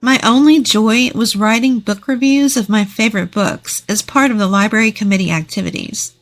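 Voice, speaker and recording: A woman's voice: mid-range voice, normal speed, soft background music. A woman narrating a scripted first-person story.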